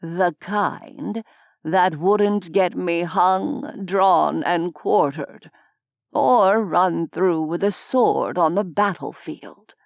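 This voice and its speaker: A wry, world-weary old nobleman tells his tale in an audiobook, voiced by a woman. The voice sits low and moves at a very unhurried pace. The sound is dry and close. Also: gravelly, no echo, exaggerated voice. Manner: slow, measured, dryly self-mocking. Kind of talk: audiobook character voice.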